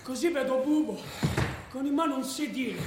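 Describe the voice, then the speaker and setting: An actor playing a fervent, excitable football ultra telling of street brawls in a radio play. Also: male voice, very high voice, normal speed, noisy background. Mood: breathless excitement. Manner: excitable, emphatic, bursts into shouting. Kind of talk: dramatised first-person narration, radio play. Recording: very echoey room.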